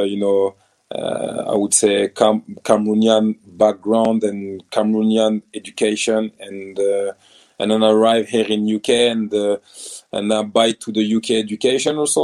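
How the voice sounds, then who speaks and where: French-accented man in his thirties, ex-footballer, halting and earnest delivery, lowish mellow tone. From France. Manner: hesitant, many restarts and fillers, non-native grammar. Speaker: former professional footballer and business founder. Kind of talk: casual interview answers.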